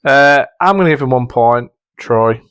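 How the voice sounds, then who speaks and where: A man's voice: mid-range voice, animated, normal speed, fairly bright tone, clean tone, dry recording. A man chats casually as a podcast host who judges contestants. He thinks aloud and hesitates as he goes. The sound is very dry, with a mid-range voice. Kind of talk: casual banter.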